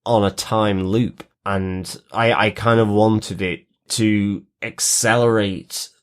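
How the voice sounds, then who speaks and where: A man's voice: on the low side, fairly even intonation, takes it slowly. A man co-hosting a podcast, discussing television in a reflective, qualifying way. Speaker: podcast co-host.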